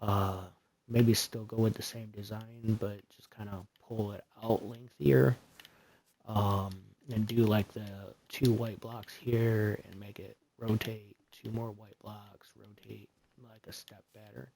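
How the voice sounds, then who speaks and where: A soft-spoken man with a fairly low voice talks slowly and loosely through a gaming livestream. He rambles along, filling the gaps with ums and uhs. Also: flat delivery, creaky. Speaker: streamer. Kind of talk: casual game commentary.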